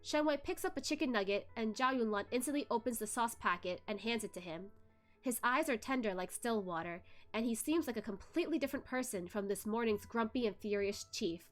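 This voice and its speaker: High-voiced young woman reading a novel aloud. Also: brisk, faint music behind. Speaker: book club host reading aloud. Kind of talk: narrative read-along of a novel.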